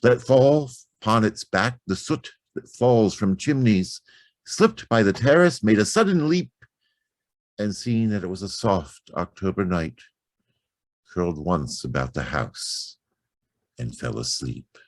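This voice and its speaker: A man reciting poetry in a theatrical, deliberate manner with long pauses between phrases. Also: lowish voice, animated, dry recording. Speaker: poetry reader.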